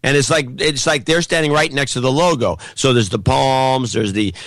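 Hoarse man co-hosting a podcast, gravelly from a cold, loud and forceful, average pitch, dry close-mic sound. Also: moderate pace, no echo. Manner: loud, pushing air, close to shouting. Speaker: podcast co-host. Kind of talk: opinionated casual chat.

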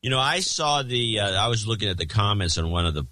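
A man's voice: lowish voice, expressive, fast talker, sharp tone, no room sound. A man co-hosting a podcast in casual banter, wry and teasing, rambling off on tangents. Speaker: podcast co-host.

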